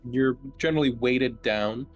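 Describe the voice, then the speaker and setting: A man's voice: mid-range voice, moderate pace. A man running a tabletop roleplaying game as game master, narrating casually.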